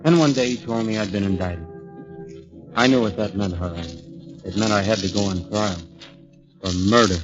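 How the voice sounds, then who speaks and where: A man's voice: on the low side, moderate pace, long pauses, close to an everyday voice. An actor playing a bewildered, earnest young American man accused of murder, hesitant and plain-spoken, in 1940s radio drama. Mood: grim, resigned. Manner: hesitant, plain-spoken, stammering when pressed. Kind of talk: 1940s radio drama acting, with first-person narration. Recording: dull 1940s radio recording, music behind.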